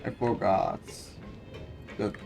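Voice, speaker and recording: Slow, halting man commenting on gameplay. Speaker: game streamer. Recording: game music behind the voice.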